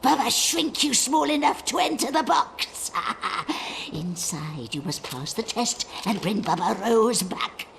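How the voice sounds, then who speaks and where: A crazed old hag from a dark fantasy game, swooping wildly from low mutters to shrieks. The speech is very drawn out, wheedling and broken, in a gravelly cackle over faint noise. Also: female voice, sing-song, slow pace, few pauses, exaggerated voice. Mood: wheedling, gleeful. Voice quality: creaky. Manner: cackling, sing-song, broken speech in third person. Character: crazed, wheedling old witch, craving youth. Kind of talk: video game character acting.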